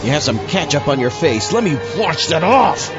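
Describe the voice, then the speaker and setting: A theatrical male horror podcast host performing comic skits. His voice is high and swoops around, slightly dull in sound, with loud music behind it. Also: big pitch swings, moderate pace. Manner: theatrical, hammy.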